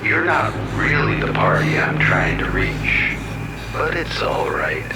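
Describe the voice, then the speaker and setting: A man's voice: low-pitched, very animated, slow pace, vocal fry, music in the background, heavily acted. A man playing a sinister, folksy supernatural presence in an audio drama, drawling with menacing friendliness. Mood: calm, sinister. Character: sinister folksy male entity speaking through a rock. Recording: heavy sound bed, roomy echo.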